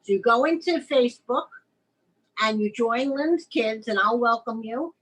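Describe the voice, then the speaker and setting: A woman's voice: fairly high pitch, unhurried, a bit bright, rough recording. A middle-aged woman hosting a video call, explaining things in an eager, open manner. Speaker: host, writing mentor. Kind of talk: casual video-call chat.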